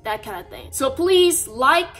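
A young adult woman talking casually to camera in a bedroom video diary, rambling and halting, with lots of "like" as filler. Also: sits high, animated, fairly bright tone, faint music behind. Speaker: vlogger. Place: small bedroom, indoors.

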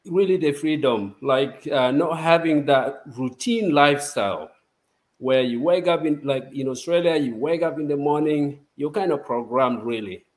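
Brief: young South Sudan-born man, guest on a video call, relaxed and chatty. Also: a little high, moderate pace. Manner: relaxed, chatty, laughs easily. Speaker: interview guest. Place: home office.

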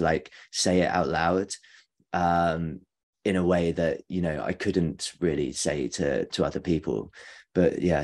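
A man in his forties reflecting personally in a halting way, repeating words as he goes. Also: low voice, darkish tone. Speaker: panelist. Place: kitchen, indoors. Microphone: video call, laptop.

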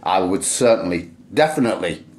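A man's voice: low-pitched, lively intonation, fairly quick, darkish tone. A man chats casually to camera as a vlogger, rambling and repeating himself a little. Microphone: camera at arm's length. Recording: very noisy camera audio.

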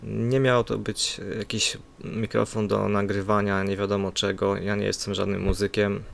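A man's voice: fairly low pitch, completely flat delivery, moderate pace, vocal fry. A man giving a casual spoken product review in a hesitant, plain-spoken, improvised way into a USB desktop microphone. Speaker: amateur gadget reviewer. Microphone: USB condenser desktop microphone.

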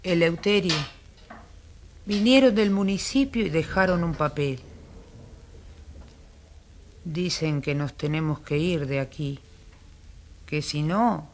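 Resigned male slum dweller: very slow, radio play, slight noise and clinking dishes. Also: fairly high pitch. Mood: worried, subdued. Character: resigned poor slum dweller relaying an eviction notice. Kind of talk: Spanish-language radio drama acting.

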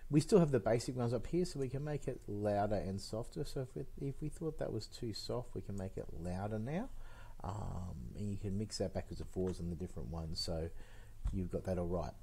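A middle-aged man giving an informal, conversational software tutorial, explaining step by step into a headset mic. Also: on the low side, brisk, creaky, slightly rough. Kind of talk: informal screen-recorded tutorial.

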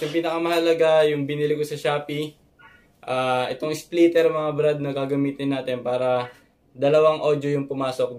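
A man's voice: mid-range voice, darkish tone. A young man giving a casual vlog tutorial, explaining informally with some hesitation. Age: young adult. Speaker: motovlogger and host. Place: small concrete-walled room with computer setup. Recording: noisy room recording.

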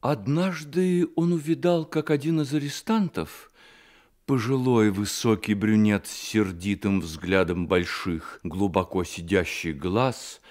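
A man narrating a literary radio drama as an expressive storyteller. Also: on the low side, unhurried.

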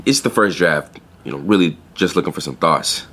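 A man's voice: deep voice, animated, normal speed, bright, forceful tone, slight background noise, heavily acted. A young man playing an earnest, guarded pet store clerk in a naturalistic audio drama, with blunt, slangy, hesitant phrasing. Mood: awkward, earnest. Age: young adult.

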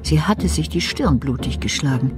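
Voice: mid-range voice, lively intonation, normal speed, music in the background. A narrator giving a dramatic scripted reading in a German radio thriller.

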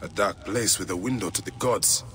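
An actor voicing a determined Egyptian warrior in a video game, brooding and muttering to himself. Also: male voice, lowish voice, moderate pace, fairly bright tone, soft background music, close to an everyday voice. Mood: pondering. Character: determined male Egyptian warrior hunting a villain.

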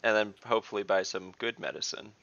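Low, creaky, blunt man playing a game. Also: flat delivery, quick pace, dull audio, over a noisy crowd. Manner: blunt, matter-of-fact. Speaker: player in a tabletop game. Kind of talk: casual in-character game chat. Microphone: video call.

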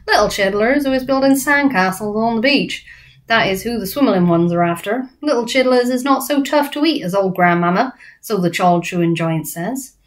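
A woman's voice: a little high, lively intonation, normal speed, lightly acted. A woman voicing a big, kindly male giant who mangles his words and grammar, reading aloud from a storybook. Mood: confiding.

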